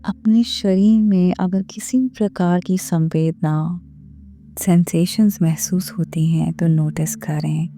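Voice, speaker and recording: Woman doing guided meditation, soft mellow voice, really slow n soothing, bit of music behind. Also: on the low side, fairly even intonation. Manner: gentle, measured, unhurried phrasing with pauses. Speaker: meditation guide and series host. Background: background music.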